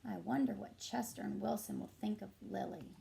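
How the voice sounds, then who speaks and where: A woman reading a picture book aloud to children as a teacher, in an expressive, deliberate and engaging way. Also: medium pitch, creaky, slight background noise. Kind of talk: expressive storybook narration for children.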